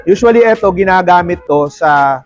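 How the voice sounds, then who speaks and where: A man's voice: high-pitched, expressive, moderate pace, lo-fi sound. A man with a Filipino accent giving a playful, salesman-like product review in casual chat, mixing in English terms. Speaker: vlogger presenting a product unboxing. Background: music.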